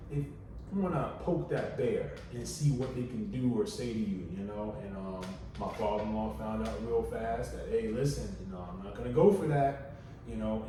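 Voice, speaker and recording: A soft-spoken man in his thirties with a deep voice, chatting casually on a podcast in a relaxed, drawling way with many fillers. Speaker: podcast co-host. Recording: echoey room, distant mic, faint noise.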